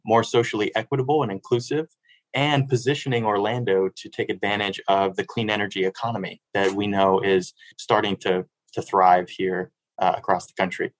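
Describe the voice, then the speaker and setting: A man's voice: lowish voice, fairly even intonation, moderate pace, dry recording, rough recording. A man in his thirties from Miami, a city sustainability director answering interview questions in an earnest, fluent way with small restarts. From Miami, Florida.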